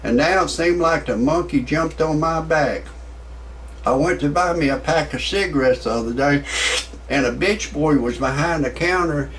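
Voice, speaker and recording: A cussing, blustering man in his sixties with a drawl, delivering a comic rant. His voice sits high for a man. He sounds some distance from the mic. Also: moderate pace, fairly bright tone, a little hiss or hum. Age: 60s. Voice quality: profane, blustering. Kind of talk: ranting stand-up monologue.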